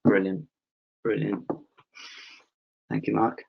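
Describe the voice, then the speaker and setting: A man hosting an online Q&A with a panel, reading out viewers' questions in a relaxed way with lots of "um"s. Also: fairly low pitch, slow pace, creaky, slight background noise. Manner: relaxed, filler-heavy, reads out questions. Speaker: webinar host. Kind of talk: casual Q&A moderating. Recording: dull lo-fi call audio.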